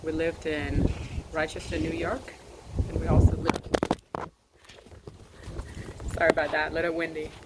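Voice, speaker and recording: A woman in her thirties from South Sudan, a nurse, speaking earnestly to camera outdoors in the wind, measured and repeating phrases for emphasis. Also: deep voice, flat delivery. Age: 30s. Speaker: nurse and army veteran sharing her story. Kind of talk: personal address to camera. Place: outdoors, windy. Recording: noisy outdoor recording with wind.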